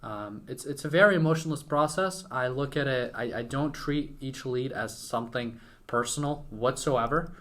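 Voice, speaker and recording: A man's voice: mid-range voice, normal speed, fairly bright tone. A young man from Western Ukraine, a native Ukrainian speaker, chatting casually and informally as a podcast guest. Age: young adult. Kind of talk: casual conversational interview.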